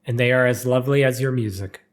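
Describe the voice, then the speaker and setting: Gentle young noblewoman, read by a man. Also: mid-range voice, fast talker, lightly acted. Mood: gentle, smiling.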